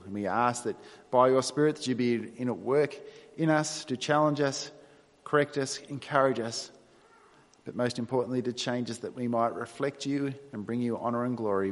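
A man with an Australian accent preaching a sermon to his congregation in a conversational, self-deprecating way. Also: mid-range voice. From New South Wales, Australia. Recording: long reverberant hall sound.

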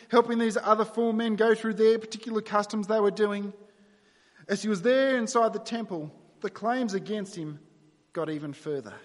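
A man with an Australian accent preaching a sermon to his congregation in a conversational, self-deprecating way. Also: high-pitched. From New South Wales, Australia. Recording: long reverberant hall sound.

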